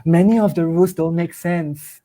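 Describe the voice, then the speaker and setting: Earnest Singaporean teacher explaining to peers. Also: male voice, fairly high pitch, fairly even intonation, moderate pace, mellow. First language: English is first language. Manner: earnest, explanatory, checks in with listeners. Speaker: teacher sharing with fellow teachers. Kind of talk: online discussion among teachers.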